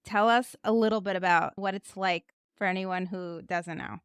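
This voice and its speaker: A woman's voice: mid-range voice, normal speed, smooth, dry recording, studio quality. A woman hosting a podcast interview, chatty and informal, with asides to listeners. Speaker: podcast host. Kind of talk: podcast interview, intro and questions.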